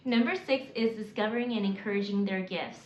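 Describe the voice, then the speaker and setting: A woman in her forties, raised in Mississippi, working through a prepared list of reasons in an earnest, measured way with occasional restarts. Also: medium pitch. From South Korea, raised in Mississippi. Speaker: homeschooling mom. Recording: roomy, slightly dull, faint hiss.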